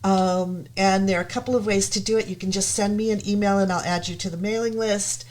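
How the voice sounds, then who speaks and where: A woman's voice: medium pitch, steady tone, fairly quick, a little mellow. A woman from the United States, chatting as a guest on a live show, hesitant with many ums but enthusiastic. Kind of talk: casual chat.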